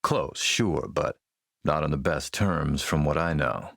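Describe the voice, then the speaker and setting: A man playing a smooth, menacing military warlord and arms dealer in a video game, suave, commanding and measured. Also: a bass voice, fairly bright tone, no echo, studio quality, heavily acted. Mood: polite, probing. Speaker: villain character in an action role-playing game. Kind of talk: acted video game dialogue.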